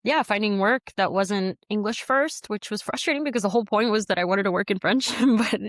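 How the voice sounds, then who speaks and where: A woman's voice: mid-range voice, fairly quick, no echo. Chatty American woman, dry sound. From United States. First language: Spanish. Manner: chatty and animated, many likes and you-knows. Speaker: guest, studio founder and CEO. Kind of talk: casual conversational interview.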